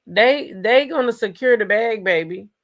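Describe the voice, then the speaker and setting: A woman's voice: a little high, expressive, measured pace. An American woman doing casual live gossip commentary as host, sassy and emphatic, often repeating phrases for emphasis.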